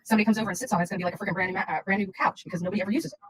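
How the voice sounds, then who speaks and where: A woman's voice: mid-range voice, racing. A woman chatting casually on a livestream in a blunt, rambling, insistent way.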